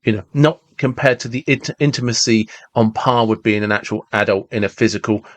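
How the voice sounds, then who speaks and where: A man's voice: mid-range voice, a little fast, dry recording. A man in his forties talking reflectively and casually as a podcast host, often repeating and rephrasing himself as he goes. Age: 40s.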